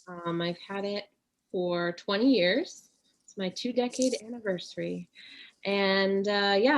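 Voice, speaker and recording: A woman social worker speaks on a video call in a friendly, easygoing manner. Her pitch sits in the middle range.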